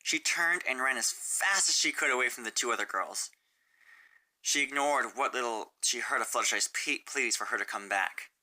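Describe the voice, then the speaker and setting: Very low-voiced woman narrating a story. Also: normal speed, bright, forceful tone, rough recording. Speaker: narrator, fan fiction reader.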